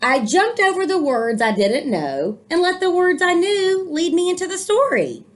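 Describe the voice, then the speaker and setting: A woman's voice: very high-pitched, big pitch swings, normal speed. A woman reading a picture book aloud to children, expressively, with encouraging, explanatory asides. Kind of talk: storybook read-aloud with commentary for children.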